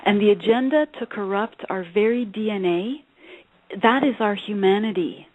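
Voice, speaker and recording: A woman's voice: mid-range voice, normal speed, no echo. A woman speaking as a guest on a talk show, earnest and hesitant, with many fillers. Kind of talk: casual talk show chat. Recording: dry tinny phone line.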